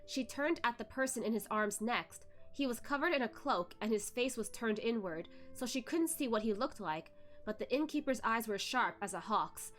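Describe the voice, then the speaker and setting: A woman reading a novel aloud as expressive narration, occasionally tripping over a word and correcting herself. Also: medium pitch. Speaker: host of a read-along reading a web novel aloud. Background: music behind the voice.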